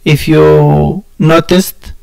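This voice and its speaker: A man from Romania with a Romanian accent chatting casually with viewers on a game stream, halting and searching for words, often laughing at himself. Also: fairly high pitch, level tone, gravelly, darkish tone, clear, resonant tone. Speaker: gaming streamer.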